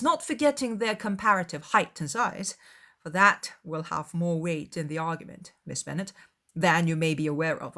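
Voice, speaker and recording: A woman voicing a cheerful, teasing young gentleman in a dramatised audiobook, with emphatic, playful exclamations. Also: lowish voice. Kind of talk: dramatised audiobook of a classic novel.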